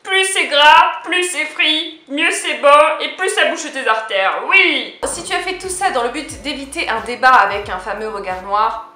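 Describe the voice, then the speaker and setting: A woman's voice: high voice, measured pace, sharp tone. A young woman vlogger talking casually and playfully to camera, addressing the viewer directly. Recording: echoey room.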